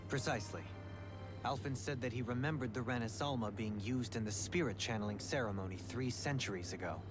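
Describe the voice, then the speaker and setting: An actor playing a composed, erudite young nobleman in a video game, speaking in a formal, measured, articulate way. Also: mid-range voice, over music, lightly acted. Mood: composed, explanatory.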